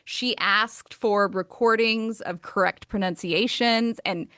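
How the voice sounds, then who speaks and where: A woman's voice: medium pitch, slow pace, sharp tone, smooth, no echo, studio quality. Chatty, enthusiastic American woman author. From United States. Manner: enthusiastic, chatty, many fillers like um and like. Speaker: author and guest. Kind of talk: casual interview conversation.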